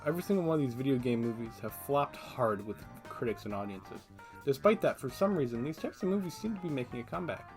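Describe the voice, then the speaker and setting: A man's voice: mid-range voice, expressive, fast, a little mellow. A man giving casual, opinionated scripted commentary as a video essayist, with a sarcastic streak. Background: background music.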